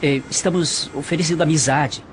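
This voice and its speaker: A man with a Brazilian accent playing a friendly, casual young shop colleague in audio drama dialogue, gently coaxing. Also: on the high side, steady tone, fairly quick, slight background noise, close to an everyday voice. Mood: friendly, reassuring.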